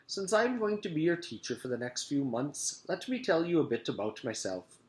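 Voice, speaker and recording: A man voicing a sinister male teacher who steals children's voices, in a raised, smooth put-on voice. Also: on the high side, very animated, normal speed, reverberant, pitched above the performer's own voice. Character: sinister male voice-thief teacher with three nostrils.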